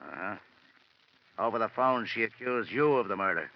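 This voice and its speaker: A man's voice: fairly low pitch, lively intonation, moderate pace. A man playing a tough, sarcastic police lieutenant questioning suspects in a 1950s-style radio drama. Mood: skeptical, sarcastic. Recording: muffled old radio broadcast.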